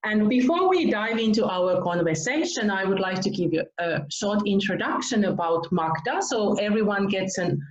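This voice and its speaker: A woman from Finland hosting an online interview, gushing with praise and laughing softly as she talks. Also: medium pitch. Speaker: interview host. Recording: roomy, dull video call audio.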